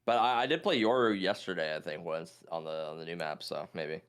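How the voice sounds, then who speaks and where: A man's voice: deep voice, brisk, clear, resonant tone. A man chatting casually as a quiz contestant, thinking aloud and hesitantly reasoning through his guesses.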